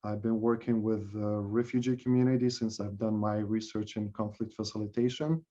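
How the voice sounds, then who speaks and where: A man's voice: lowish voice, flat delivery, a little fast, mellow. Upbeat man on a dull call line. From Palestine. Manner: upbeat, chatty, jokes lightly. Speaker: facilitator. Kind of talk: friendly event introduction. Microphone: video call.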